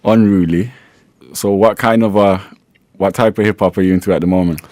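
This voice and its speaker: A man's voice: low voice, fairly even intonation, fairly quick, darkish tone, no room sound. A man hosting a radio interview in a studio, easygoing and teasing, laughing often. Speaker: radio host. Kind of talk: casual interview.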